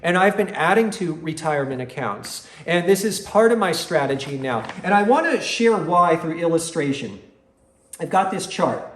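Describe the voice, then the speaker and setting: High-voiced man in a roomy echo. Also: very animated, normal speed. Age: 40s. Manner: emphatic, repeats words for stress. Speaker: host of an investing channel. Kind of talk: explanatory talk to viewers. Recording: roomy, echoing room sound.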